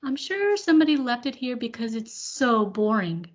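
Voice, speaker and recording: A woman voicing a reluctant, unimpressed young brother in a storybook, in a grudging, drawn-out way. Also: a little high, very animated. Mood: bored, unimpressed. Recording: dull lo-fi recording.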